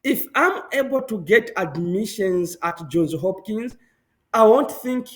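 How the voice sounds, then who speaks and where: Interview guest from Zambia, male, young adult, high voice, lively and emphatic delivery. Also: unhurried. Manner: animated, laughs often, emphatic repetitions. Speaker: guest, public health professional. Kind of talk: conversational interview answers.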